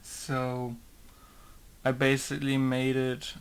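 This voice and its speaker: Gravelly modder muttering to himself. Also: male voice, mid-range voice, monotone, takes it slowly. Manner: rambling, talks to himself, mutters quiet mic tests. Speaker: game modder. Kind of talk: casual talk while working.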